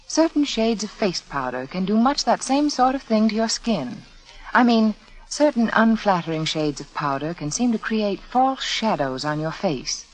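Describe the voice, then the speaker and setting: A woman with an American accent reading a scripted 1940s radio advertisement as hostess, intimate and deliberately persuasive. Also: mid-range voice, poor audio. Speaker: sponsor hostess.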